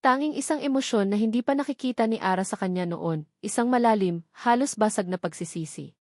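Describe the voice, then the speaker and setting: A woman with a Filipino accent reads a scripted romance drama as narrator, in a measured, dramatic storytelling manner with short pauses. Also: mid-range voice, a little mellow, dry recording, clean studio sound.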